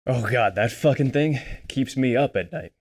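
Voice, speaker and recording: An actor playing an irritable, defensive young drug dealer in a screenplay table read, snappy and street-tough with plenty of swearing. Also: male voice, mid-range voice, lively intonation, moderate pace, close to an everyday voice. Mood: low-key.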